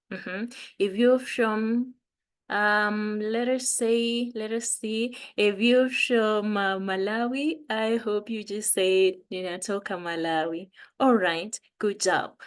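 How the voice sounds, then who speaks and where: A woman with a Kenyan accent teaching a beginner language lesson, patiently repeating phrases. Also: medium pitch.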